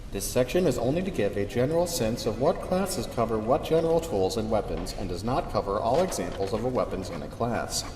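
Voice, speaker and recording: A man's voice: mid-range voice, darkish tone, lightly acted. A man playing a matter-of-fact, dryly wry official who formally and methodically reads out safety regulations in a radio drama. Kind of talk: scripted instructional narration. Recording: echoey reverberant sound, faint noise.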